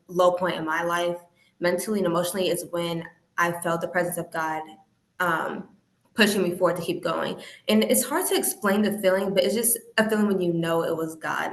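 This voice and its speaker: Young Midwestern woman, lowish voice, earnest and reflective guest sharing testimony; roomy, echoey sound. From Indiana, American Midwest. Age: young adult. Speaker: interview guest. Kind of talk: personal testimony in conversation. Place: remote online call. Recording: roomy, echoey call audio.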